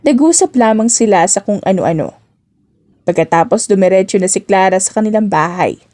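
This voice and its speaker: A woman's voice: medium pitch, animated, a little fast, mellow. A woman with a Filipino accent narrating a scripted story as an audiobook reader.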